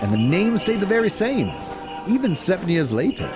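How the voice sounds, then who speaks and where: A man's voice: high voice, big pitch swings, moderate pace, music in the background. A man playing a wry, knowing narrator of a Victorian tale with a British accent, telling it as scripted audio drama. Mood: wry, storytelling. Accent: put-on British.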